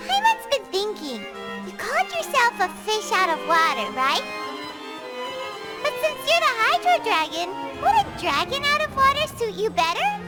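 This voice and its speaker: A woman voicing a tiny, excitable, childlike floating companion in a video game, chirpy, cheerful and boastful with a squeaky voice. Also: moderate pace, loud music behind the voice, heavily acted. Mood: curious, skeptical. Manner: speaks of herself in third person, chirpy. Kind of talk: scripted video game voice acting.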